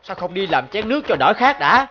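A brusque, bossy middle-aged boss from a cartoon, with a slightly raised voice and a clipped manner. The sound is dull and lo-fi. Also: male voice, high voice, sing-song, a little fast, slight background noise. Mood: insistent. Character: gruff middle-aged male warehouse boss.

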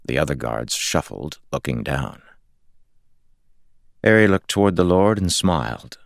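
A man with an extremely deep voice narrates a fantasy audiobook. His tone has a gravelly creak, and the recording is dry and close. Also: very animated, measured pace, dry recording. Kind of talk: scripted fantasy fiction narration.

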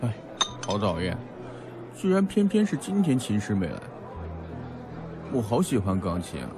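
An actor playing a playful, affectionate young male student who gently teases in an audio drama. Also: lowish voice, sing-song, moderate pace, over music. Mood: pouting. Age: young adult.

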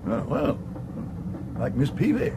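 An actor playing an absent-minded, irritable old earl in a radio comedy, halting and stammering, with an upper-class English accent. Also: male voice, medium pitch, sing-song. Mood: flustered, peevish. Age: older. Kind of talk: 1980s radio comedy acting. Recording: noisy old radio drama recording.

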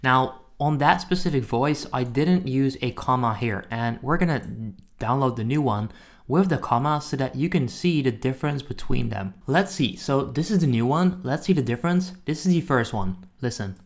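A man's voice: mid-range voice, expressive, moderate pace. A man giving a casual, informal screen-recording tutorial as a reviewer talking to his viewers. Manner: informal, addresses viewers as guys. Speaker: software reviewer and tutorial host.

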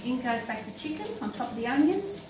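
A middle-aged woman talks through a recipe casually, step by step, as she works. Her voice sits on the high side. She is a little way from the microphone in a roomy kitchen, with pans clattering and food sizzling clearly behind her. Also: animated, brisk. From Australia. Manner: plain step-by-step commentary while busy at the stove. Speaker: home cook presenting a recipe. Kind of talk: casual cooking demonstration. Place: home kitchen, indoors. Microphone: camera mic a few steps away. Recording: roomy, distant, noisy kitchen camera sound.

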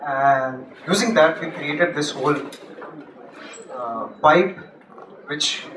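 A young man giving a technical talk at a meetup, halting with many restarts and fillers, on a handheld mic in a large office room. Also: on the high side. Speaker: conference speaker. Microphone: handheld mic, far camera. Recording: echoey room from far off, noisy.

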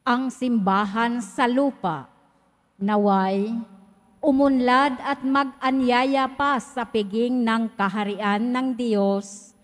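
Woman lector, high voice, reads real slow, church echo. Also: fairly even intonation. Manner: slow, measured reading with pauses. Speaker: lector reading the intercessions. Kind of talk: reading the prayers of the faithful. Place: large church. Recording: echoey church hall.

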